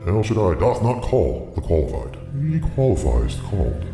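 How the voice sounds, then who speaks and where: A noble celestial guardian, very low in pitch and solemn. His archaic, formal lines rise and fall grandly in a reverberant space, as in a fantasy audio drama. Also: big pitch swings, moderate pace, gravelly, over music, full character voice. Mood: solemn, grave. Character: solemn, noble celestial guardian spirit bearing a divine warning.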